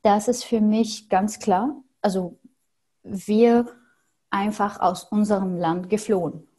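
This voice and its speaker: Syrian woman, mellow and hesitant. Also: medium pitch, fairly even intonation, slow pace. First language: Arabic. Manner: thoughtful, hesitant with frequent fillers. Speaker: podcast guest. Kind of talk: casual interview answers. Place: small home room with paintings on wall.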